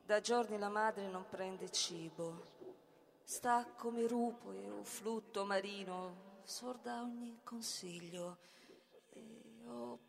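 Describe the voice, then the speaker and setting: A woman's voice: medium pitch, slow, creaky. A woman playing a frightened, lamenting child in a poetic tragedy, declaiming with incantatory repetition. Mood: fearful. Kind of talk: avant-garde poetic tragedy recitation. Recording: long reverberant hall sound.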